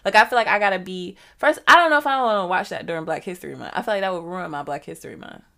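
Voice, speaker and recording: Woman, podcast host, low pitch, bright tone, relaxed, thoughtful. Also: rapid-fire, gravelly. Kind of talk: casual chat.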